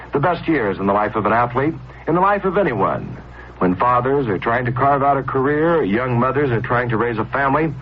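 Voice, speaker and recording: A man reading a scripted public service appeal as a radio announcer. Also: medium pitch. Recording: dull, hissy old radio spot.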